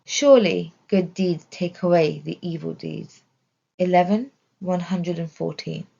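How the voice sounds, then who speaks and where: A woman reads a scripted religious study text in a measured, deliberate way. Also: on the low side, gravelly, warm tone, husky, highs cut off. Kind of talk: scripted narration, religious lesson.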